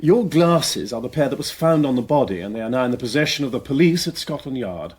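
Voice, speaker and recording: An aristocratic English amateur sleuth in a radio drama. He speaks with an upper-class accent and very animated pitch, the tone a little husky. His manner is courteous and inquisitive, with thoughtful hesitations. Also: quick pace. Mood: earnest. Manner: urbane, courteous, hesitant musing. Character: eager aristocratic gentleman detective, courteous and inquisitive. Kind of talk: British radio drama acting.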